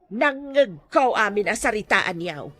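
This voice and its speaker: A woman's voice: mid-range voice, animated, measured pace, sharp tone, no room sound. A woman playing an indignant, blunt village scold in a comedy drama, with an Ilocano accent. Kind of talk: Ilocano comedy radio-style drama acting.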